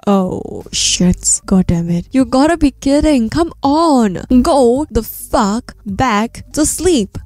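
A woman streamer reading a storybook aloud in a soothing bedtime manner, gently, sometimes dropping into a whisper, close to the microphone. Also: fairly high pitch, expressive, slow, hardly any pauses, no room sound.

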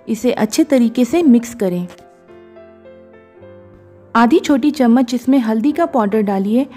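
A woman calmly narrating a cooking recipe step by step as a voice-over, slipping English words into her sentences. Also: a little high. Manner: calm, instructive, mixes English words into Hindi. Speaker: cooking show host. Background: soft background music.

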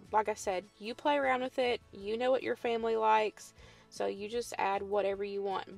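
Southern woman, 30s, chatty recipe voice-over, light vocal fry, faint music bed. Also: mid-range voice. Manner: chatty, friendly how-to explaining with "y'all" and "um". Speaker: home cooking vlogger. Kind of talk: casual cooking voice-over. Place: home kitchen.

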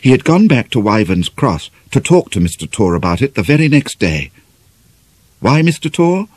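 A British man narrates a novel in a dramatic, scripted style. His voice is medium-pitched and slightly husky, with lively, expressive intonation, recorded dry and close. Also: moderate pace, no echo. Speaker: audiobook narrator.

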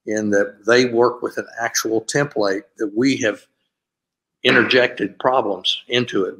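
An older man speaking as a university administrator on a video call panel, explaining his office's review process in a steady way with frequent fillers. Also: on the low side, poor audio. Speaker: panelist, graduate school administrator. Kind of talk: conference panel talk over video call.

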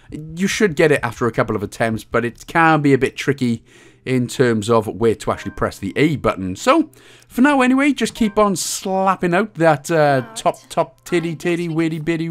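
A man presents a casual game achievement guide. His pitch swings in a lively way as he explains things, and his manner is jokey and a bit crude. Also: normal speed. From Wales. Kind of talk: casual video game walkthrough commentary.

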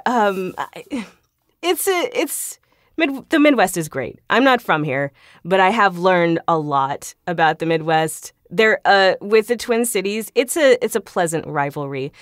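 A woman's voice: medium pitch, animated, a little slow, no echo. Chatty woman in a very dry studio. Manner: chatty, laughs often. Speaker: guest, voice actor. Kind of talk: casual interview chat. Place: closet home studio, dry sound. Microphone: shotgun studio microphone.

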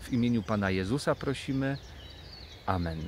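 A man's voice: mid-range voice, lively intonation, normal speed. A man in his thirties presenting a children's Bible programme with addresses and prayer, recorded on a phone outdoors in woodland. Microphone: phone at arm's length. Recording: faint outdoor noise.

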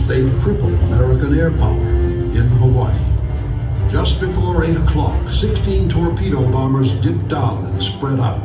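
Male documentary narrator, distant and echoing. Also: medium pitch. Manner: measured, dramatic, short deliberate phrases. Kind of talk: scripted narration of wartime history. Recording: roomy playback from afar under loud music. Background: loud music.

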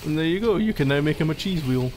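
A man's voice: on the high side, animated, fast talker, darkish tone, a little hiss or hum, close to an everyday voice. A man playing a needy, playfully exasperated gamer boyfriend in a casual, intimate ASMR roleplay, teasing as he talks. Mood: playful exasperation. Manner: teasing, mock-exasperated, conversational.

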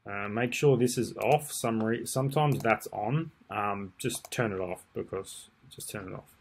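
A man's voice: on the low side, unhurried, a little hiss or hum. A man, talking through a screen-share tutorial casually, with lots of ums and restarts. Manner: relaxed, rambling, many ums and restarts. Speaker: marketing tutor. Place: indoors at a desk.

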